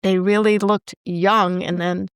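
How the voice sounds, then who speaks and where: A woman's voice: medium pitch, level tone, unhurried, clear, resonant tone, no echo, studio quality. Reflective middle-aged woman host. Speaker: podcast host. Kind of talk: casual chat.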